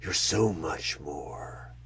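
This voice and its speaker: A man playing a sinister devilish tempter posing as a job interviewer in a horror ASMR roleplay, with a gravelly, breathy voice that often drops into a whisper and a menacing, persuasive manner. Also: very deep voice, a bit bright, heavily acted. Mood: hushed, menacing. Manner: slow, menacing, persuasive, drawn-out pauses.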